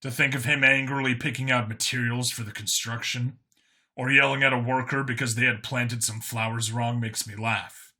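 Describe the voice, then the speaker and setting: A man's voice: medium pitch, flat delivery, moderate pace, bright, forceful tone. A man voicing a wry, wary human cop in an audiobook, informal and questioning. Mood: amused. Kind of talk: audiobook character acting.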